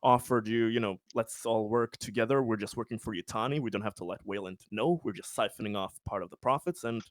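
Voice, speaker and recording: A man's voice: lowish voice, fairly quick, few pauses, no room sound. Man rambling through a game recap, close dry sound. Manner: rambling, sweary, self-amused. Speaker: tabletop RPG player. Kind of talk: casual post-game recap of a character's schemes.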